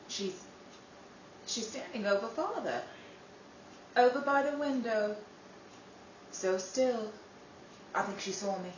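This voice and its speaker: A woman playing a wry, measured young Englishwoman in a 1930s-style radio drama. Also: on the low side, a little hiss or hum, lightly acted. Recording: roomy room, distant mic.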